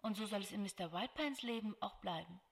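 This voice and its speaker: A woman's voice: mid-range voice, fast, vocal fry. An actress playing a strict, bureaucratic female superior at a security agency in an audio drama. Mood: stern, official. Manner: bureaucratic, authoritative. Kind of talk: German audio drama acting.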